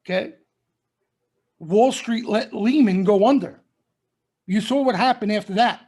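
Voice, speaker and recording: A man's voice: high voice, unhurried, darkish tone, slightly rough. A middle-aged man giving a market commentary broadcast, warning listeners emphatically and repeating his points. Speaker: stock trading commentator. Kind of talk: members-only market broadcast.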